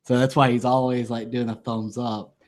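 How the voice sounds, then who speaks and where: A man co-hosting a podcast, telling animated stories about games and laughing as he goes. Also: medium pitch, normal speed. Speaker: podcast co-host. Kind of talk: casual chat, storytelling.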